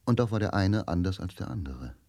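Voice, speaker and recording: A man's voice: deep voice, very animated, fairly quick, gravelly, darkish tone, no echo, clean studio sound. A man narrating a radio drama in a dramatic, scripted style.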